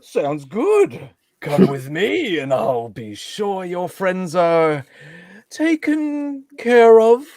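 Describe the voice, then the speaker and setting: Theatrical, high-voiced alien Sith villain played by a man. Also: huge pitch swings, very slow, darkish tone, no room sound, clean studio sound, exaggerated voice. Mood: smug. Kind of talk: comedic live table read of a sci-fi script.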